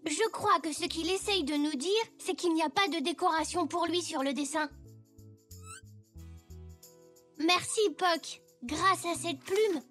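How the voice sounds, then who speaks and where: A little zebra boy in a kids cartoon, super high voice, eager and cheery, bit of music under. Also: pushed and bright. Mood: happy. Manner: eager, childlike. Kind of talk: French children's cartoon dialogue.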